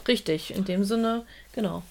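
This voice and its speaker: A woman's voice: medium pitch, moderate pace, vocal fry, a little mellow. A woman co-hosting an unscripted chat, chiming in with short, agreeing additions. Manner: agreeing, adding short remarks. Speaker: podcast co-host.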